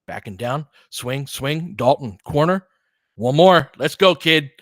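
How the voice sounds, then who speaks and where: A man in his forties doing casual play-by-play of a basketball game, chatty and excitable, thinking aloud between plays. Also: a little high, takes it slowly, no room sound, clean studio sound. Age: 40s. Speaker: play-by-play host. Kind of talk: casual fan play-by-play commentary.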